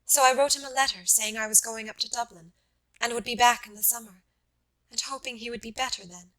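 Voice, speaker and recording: A woman narrator plays a young wife mourning a lost love in an audiobook story. Her voice is veiled and sorrowful, with halting phrases and a bright, aching tone. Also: on the high side, fairly even intonation, pitched above the performer's own voice, natural voice, poor audio. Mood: tender, wistful. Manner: sad, halting.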